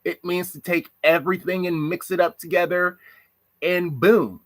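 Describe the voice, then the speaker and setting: A man's voice: high voice, steady tone, normal speed, a bit bright, dry recording. A man from the United States giving a reflective monologue on social issues, asking rhetorical questions. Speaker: commentator.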